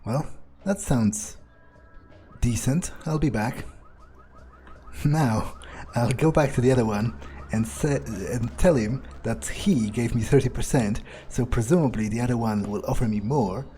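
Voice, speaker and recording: A man with a husky voice doing in-character commentary on a game, musing aloud to himself. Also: mid-range voice, a little slow, dark tone, rough recording. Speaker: gaming video maker.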